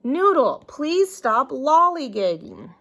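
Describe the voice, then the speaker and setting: A woman's voice: sits high, very animated, a bit bright, voice pushed up, exaggerated voice. A woman reading aloud, voicing a schoolteacher who patiently reprimands a child. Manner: slow, drawn-out reprimands.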